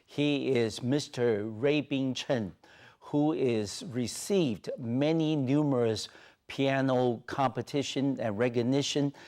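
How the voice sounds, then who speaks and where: Middle-aged tv host guy, polite, keeps saying you know, studio lapel mic, average pitch. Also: darkish tone. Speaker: TV talk show host. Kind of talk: studio interview.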